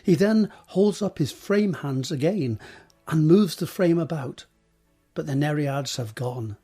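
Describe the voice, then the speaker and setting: A man reading scripted scene directions as a narrator, measured and descriptive, with deliberate pauses. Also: on the high side, lively intonation, warm tone, slightly rough, soft background music.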